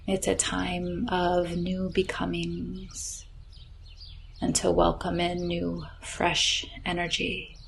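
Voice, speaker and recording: A woman leads a guided yoga nidra practice very slowly and gently. Her voice is somewhat low and crackles with vocal fry, often softening into breathiness. Faint birdsong and nature ambience sit behind her. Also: monotone. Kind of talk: slow guided relaxation meditation. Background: chirping birds, nature ambience.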